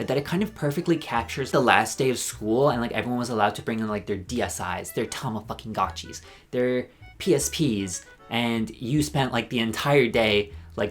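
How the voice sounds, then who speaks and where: A man giving a rambling, sarcastic video commentary with deadpan asides. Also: medium pitch. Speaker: commentary YouTuber. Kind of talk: ranking commentary.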